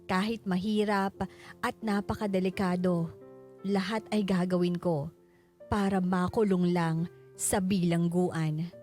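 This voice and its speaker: A woman playing a grieving, resolute widow who narrates her story solemnly and deliberately in a scripted radio drama. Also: fairly low pitch, steady tone, mellow, lightly acted. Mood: bitter resolve. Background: background music.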